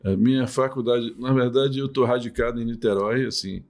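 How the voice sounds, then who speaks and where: A man's voice: mid-range voice, fairly even intonation, brisk, darkish tone. A middle-aged man from Bahia, a urologist and professor explaining things patiently in an interview, often restarting his phrases. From Salvador, Bahia, Brazil.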